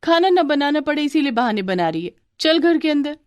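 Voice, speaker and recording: Woman as a scolding village elder sister, raised pitch. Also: big pitch swings, brisk, no room sound. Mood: exasperated scolding. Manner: bossy, exasperated. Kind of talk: cartoon moral story acting.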